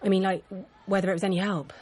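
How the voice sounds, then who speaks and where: An actress playing a nervous, well-meaning teacher in a radio drama, hesitant, rambling and apologetic. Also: female voice, medium pitch, little pitch movement, quick pace, a little mellow, lightly acted. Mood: awkward, confiding. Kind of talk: naturalistic radio drama acting.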